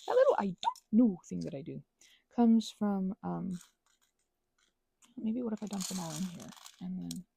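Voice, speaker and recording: Soft, creaky woman rambling whimsically. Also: medium pitch, sing-song, normal speed, pauses often, slight background noise. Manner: whimsical, self-interrupting, chatting to herself and objects. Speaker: craft and ASMR video creator. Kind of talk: rambling casual chat while crafting.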